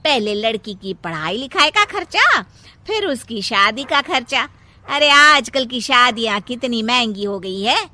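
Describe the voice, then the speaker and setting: A woman voicing a bitter older mother-in-law in a cartoon moral story, complaining melodramatically and with a sharp tongue. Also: on the high side, animated, a little slow, exaggerated voice. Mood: grumbling.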